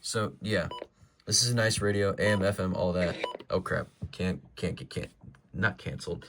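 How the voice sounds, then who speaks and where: A man's voice: deep voice, normal speed, very noisy. A man giving a casual, rambling show-and-tell as a collector, in an informal and opinionated way. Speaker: collector presenting a weather radio collection.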